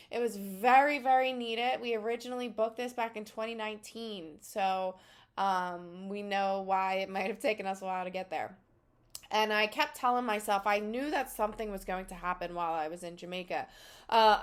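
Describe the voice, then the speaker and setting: Philadelphia-accented woman channeling casually. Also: medium pitch. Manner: rambling, conversational, many fillers. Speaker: spiritual channel. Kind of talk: casual spiritual talk to camera.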